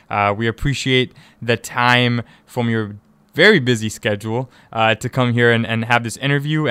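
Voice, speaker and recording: Smooth, effusive male radio interviewer. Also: mid-range voice, a bit bright, no room sound. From East Africa (Tanzania and Kenya). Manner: effusive, repeats words and phrases for emphasis. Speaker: radio show host and interviewer. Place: radio studio.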